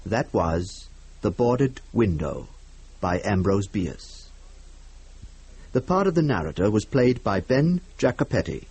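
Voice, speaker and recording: A man announces as host of a radio drama show. His voice is husky and moves expressively in pitch, with formal, measured phrasing and a dry, close studio sound. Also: very animated, a little mellow, no echo.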